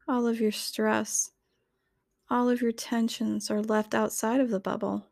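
Slow, mellow woman guiding meditation. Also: mid-range voice, vocal fry, dry recording, clean studio sound. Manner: soothing, gentle, measured delivery. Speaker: meditation guide. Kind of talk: guided meditation narration, scripted.

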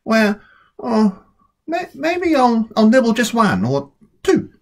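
A woman's voice: on the high side, wildly swooping pitch, takes it slowly, a little mellow, full character voice. A woman voicing a fussy, stubborn little girl in a storybook, with emphatic, dramatic delivery. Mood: coaxed, eager. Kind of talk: storybook character voice.

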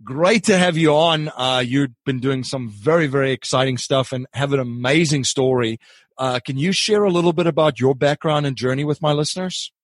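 A man's voice: mid-range voice, moderate pace, no room sound, clean studio sound. A man from Africa hosting an interview podcast, asking long questions and often repeating words as he goes. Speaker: podcast host.

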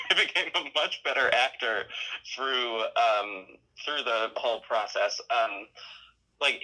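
Male podcast guest, bright mid-range voice, conversational, hesitant, modest delivery. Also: medium pitch, low-quality recording. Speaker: podcast guest, audio drama creator. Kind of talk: casual interview chat.

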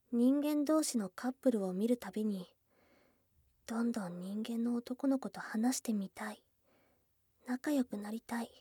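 Woman as a breathy, slow-talking goblin girl. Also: fairly high pitch, dry recording, studio quality. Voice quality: breathy, soft, often whispering. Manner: blunt tomboyish speech, tender and hesitant. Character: shy, tomboyish young goblin girl confessing love. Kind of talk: intimate ASMR situation voice drama. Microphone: close, dry studio microphone.

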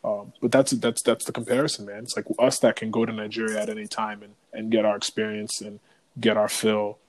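A man's voice: lowish voice, level tone, a little fast, low-quality recording. A man co-hosting a podcast, talking in a casual, reflective and emphatic way with slangy phrasing. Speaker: podcast co-host.

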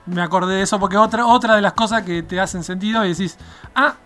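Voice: mid-range voice, fast talker, a bit bright, faint music behind. An interview host with an Argentine accent, chatting in a casual, playful way and putting questions to a guest. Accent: Argentine Spanish.

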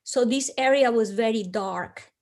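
Colombian lady telling her story real slow, higher voice, kinda dramatic, lots of restarts. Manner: earnest, dramatic, pauses and restarts. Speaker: guest. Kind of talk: personal storytelling interview.

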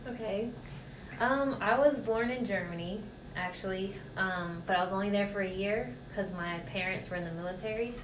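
A woman in her thirties being interviewed, answering in a relaxed, chatty way. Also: medium pitch, normal speed. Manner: relaxed, chatty, laughs easily. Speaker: interview guest. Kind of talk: casual conversational interview. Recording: roomy with steady hiss.

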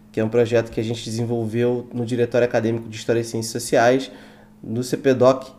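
Mellow-voiced young Carioca man. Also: medium pitch. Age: young adult. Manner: earnest, slightly formal, with hesitations. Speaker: guest, student event organizer. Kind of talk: casual podcast interview.

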